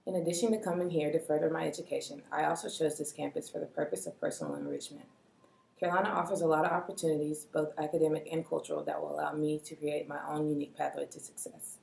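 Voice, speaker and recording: A woman's voice: deep voice, fast talker, gravelly, darkish tone, roomy sound, rough recording. A young woman, a college student, delivering a polished, recited statement.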